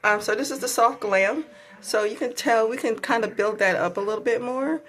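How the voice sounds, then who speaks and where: A woman's voice: on the high side, moderate pace, a bit bright, poor audio. A woman with a sore throat and a stuffy voice, chatting casually through a makeup tutorial with many ums and likes. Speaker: beauty vlogger.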